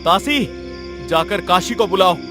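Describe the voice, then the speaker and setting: Man acting a high-voiced regal cartoon king. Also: animated, measured pace, music in the background. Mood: commanding. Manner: formal, stately storybook diction. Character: royal king, commanding, lovestruck by a dream woman. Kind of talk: Hindi cartoon story dialogue.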